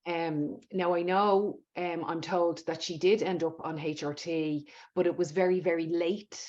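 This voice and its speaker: A woman's voice: fairly low pitch, fairly even intonation, a little slow, a little mellow. A woman hosting a podcast interview in an emphatic, conversational way, often repeating words for stress. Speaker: podcast host. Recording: dull lo-fi audio.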